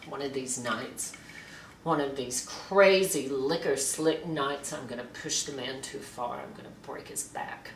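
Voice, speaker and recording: A woman playing a bitter, self-loathing wife in a theatrical monologue, brooding in halting, hushed phrases. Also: rumbling low. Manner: halting, repetitive, hushed brooding with breathy whispers. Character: bitter, self-loathing wife brooding over the husband who loves her. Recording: echoey, noisy room, distant mic.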